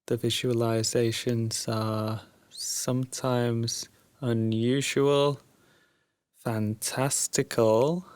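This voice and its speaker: Very slow, soft male meditation guide. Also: medium pitch, little pitch movement, smooth, no echo. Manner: soft, drowsy, soothing, with long pauses. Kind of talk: guided sleep meditation, Yoga Nidra.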